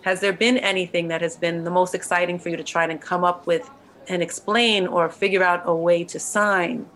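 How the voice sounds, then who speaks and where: Curious woman interviewer, lowish voice. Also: steady tone, moderate pace, fairly bright tone. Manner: engaged, curious questioning. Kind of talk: conversational interview.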